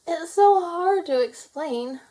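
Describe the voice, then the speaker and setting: A woman voicing a sweet, excitable young mermaid girl in a storybook, speaking in an earnest, emotive way. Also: very high-pitched, slow pace, pitched above the performer's own voice, full character voice. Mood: upset, earnest.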